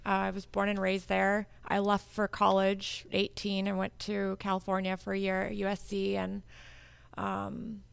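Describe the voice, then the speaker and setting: A woman's voice: medium pitch, monotone, normal speed, vocal fry, clear, resonant tone. A woman from Hawaii in her thirties, a guest in a casual interview, talking in a laid-back way with frequent fillers.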